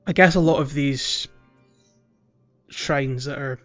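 A man's voice: mid-range voice, takes it slowly, fairly bright tone, lo-fi sound, soft background music. A man chatting casually and wryly as he hosts a video game podcast. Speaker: podcast host.

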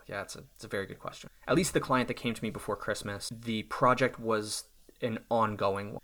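A man in his thirties with a lowish voice talks through his work choices in an easy, reflective way. He often restarts his sentences and thinks aloud. It sounds like a relaxed podcast conversation. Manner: thoughtful, reflective, with restarts and small laughs. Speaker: self-employed software developer.

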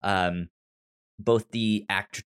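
An American man hosting a casual TV discussion, with a stutter that repeats syllables and word starts and a halting, informal delivery. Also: fairly low pitch, steady tone, fairly bright tone, clear, resonant tone. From United States. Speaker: podcast host.

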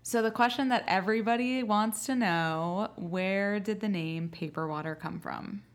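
A woman's voice: mid-range voice, normal speed. A woman hosting a podcast, interviewing guests in a casual, conversational style. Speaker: podcast host.

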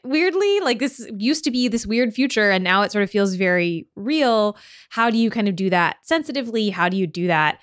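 A woman's voice: medium pitch, lively intonation, fairly quick. Podcast host, female, mid-range voice, casual interview delivery, informal wording.

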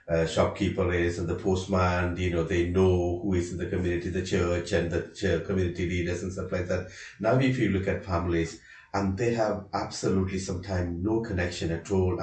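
A man's voice: deep voice, a little mellow, far from the mic. A man in his fifties from Sri Lanka with a Sri Lankan accent, a children's support worker speaking earnestly on a podcast panel. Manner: earnest, with "uh" and "you know" fillers. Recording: echoey room, away from the mic.

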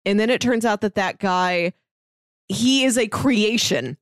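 Female co-host, mid-pitched, informal conversational reviewer, very dry recording. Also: a little slow, no room sound. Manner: conversational, peppers talk with "like". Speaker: podcast co-host. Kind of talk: casual review chat.

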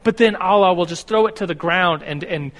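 Guy in his 30s teaching bible class, kinda high voice, rambles and restarts a lot, big hall echo. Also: brisk, a bit bright. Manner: rambling, self-correcting, stumbles over words. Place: classroom with a board. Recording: long hall reverb.

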